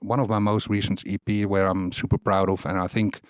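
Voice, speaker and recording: A middle-aged man with a Dutch Amsterdam accent talks in a low, laid-back voice, looping through fillers and side remarks as he tells stories about his work. The sound is dry and close, with no room around it. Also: a little fast, no room sound. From Netherlands. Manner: relaxed, rambling, laughs often, many fillers. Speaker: DJ and producer guest. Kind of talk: casual interview chat.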